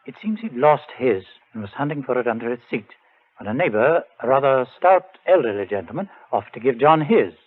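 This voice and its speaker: An actor playing a troubled, reserved bereaved brother in a 1940s radio drama, speaking in a measured, formal, understated way. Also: male voice, mid-range voice, big pitch swings. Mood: recollecting, puzzled. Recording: narrow, tinny 1940s radio broadcast.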